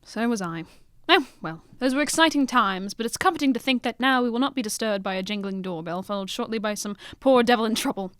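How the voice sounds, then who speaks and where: Woman as old retired detective, commanding, formal phrasing, rapid speech, dry recording, audio drama. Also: fairly high pitch, expressive, fairly bright tone, smooth, dry recording. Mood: reflective.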